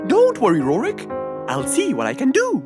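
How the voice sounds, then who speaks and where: A performer voicing an obliging, upbeat male fox handyman in a children's cartoon, with an animated, reassuring manner. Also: mid-range voice, moderate pace. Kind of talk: children's cartoon character acting. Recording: music behind the voice.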